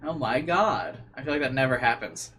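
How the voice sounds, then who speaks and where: A man's voice: mid-range voice, big pitch swings, normal speed, fairly bright tone, soft background music. A man doing casual livestream commentary and reading a visual novel aloud, rambling and incredulous in manner. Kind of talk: casual livestream commentary mixed with read-aloud game narration.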